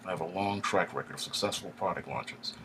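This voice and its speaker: A man reading a first-person story aloud in a steady, wry storytelling manner. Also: low voice, fairly quick, gravelly, noisy background. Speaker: audiobook narrator. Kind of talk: first-person audiobook narration.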